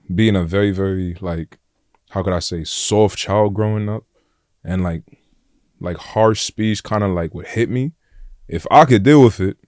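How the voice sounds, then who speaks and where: Man, New York accent, deep voice, very low pitch, blunt, slangy, dry sound. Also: no room sound. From Flatbush, Brooklyn, New York. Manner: blunt, slangy, frequent "like" and "bro". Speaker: podcast guest. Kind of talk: casual conversation.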